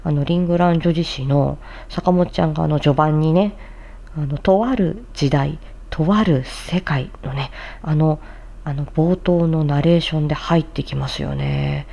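A woman's voice: deep voice, unhurried, creaky, warm tone, dull audio. A woman who hosts a tongue-twister challenge like a voice actor, moving between carefully enunciated theatrical recitation and polite, chatty commentary. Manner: polite, chatty asides to viewers.

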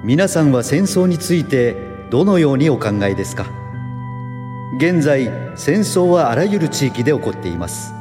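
An actor playing an earnest, kindly American doctor and lecturer in a radio drama. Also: male voice, on the high side, big pitch swings, normal speed, over music. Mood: solemn, persuasive.

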